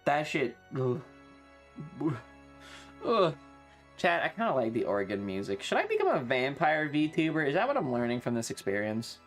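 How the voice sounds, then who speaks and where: Animated, rambling, sweary livestreamer. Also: medium pitch. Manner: rambling, profane, opinionated. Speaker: streamer. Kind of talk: casual livestream chat.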